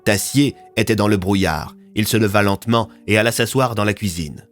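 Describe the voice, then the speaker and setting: A man's voice: fairly low pitch, fairly quick, faint music behind. A man narrating a serialised audio fiction in a scripted, dramatic storytelling style.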